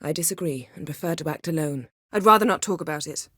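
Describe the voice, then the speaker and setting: A woman's voice: deep voice, fast, pushed and bright, breathy edge, no echo, clean studio sound, exaggerated voice. A woman voicing a proud, commanding, sardonic sorceress in a fantasy video game, with formal, cool, clipped diction. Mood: curt, irritated. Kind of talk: video game voice acting, scripted dialogue.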